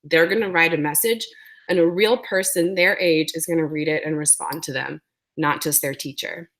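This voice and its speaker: Lady giving a school webinar, kinda scratchy sore throat, says um a lot, pitch on the low side. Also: moderate pace, fairly bright tone. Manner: explanatory, many ums. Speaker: webinar presenter. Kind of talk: presenting a webinar.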